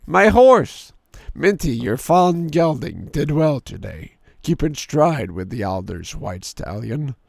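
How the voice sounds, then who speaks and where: A hoarse man in his thirties with a sore throat, streaming a game and reading its story text aloud in a deeper storyteller voice. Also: very animated, slow, a little mellow, no echo. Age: 30s. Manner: drops into a deep storyteller voice for the narration.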